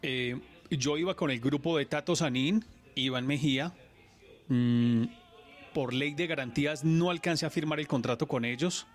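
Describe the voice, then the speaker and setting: A Colombian radio sports journalist, a man, telling his stories in an earnest, animated way during a radio interview. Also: a little high, moderate pace, faint music behind.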